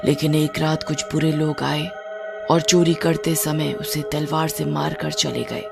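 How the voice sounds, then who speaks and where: औरत की आवाज़ में कठोर, गंभीर भूतनी माँ. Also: female voice, deep voice, steady tone, measured pace, vocal fry, exaggerated voice. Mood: solemn. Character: stern female spirit, witch's dead mother. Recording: music behind the voice.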